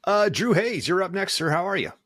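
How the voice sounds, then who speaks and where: A man's voice: high voice, expressive, fairly quick, a bit bright, no room sound, clean studio sound. A man hosting a podcast in casual, jokey banter, chuckling often and swearing casually as he introduces the players. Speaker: podcast host.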